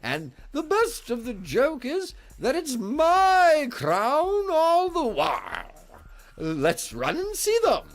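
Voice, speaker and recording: A man voicing a fussy, excitable old king with a shrill, drawn-out theatrical voice. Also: heavily acted. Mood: fussy excited.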